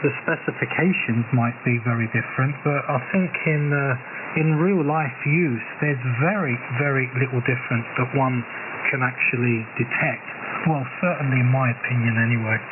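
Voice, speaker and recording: Man, mid pitch, ham radio operator, halting considered phrases, casual chat, very noisy transmission. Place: received over HF radio. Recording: narrowband shortwave radio with heavy noise.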